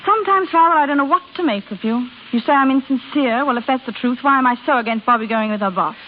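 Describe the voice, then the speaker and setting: An actress playing a meddling, gossipy married sister in a vintage radio drama, gushing, insincere and bossy. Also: female voice, sits high, fast, phone-quality sound, natural voice. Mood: indignant. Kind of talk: 1930s-40s radio drama acting. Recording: thin, band-limited old radio recording, faint hiss.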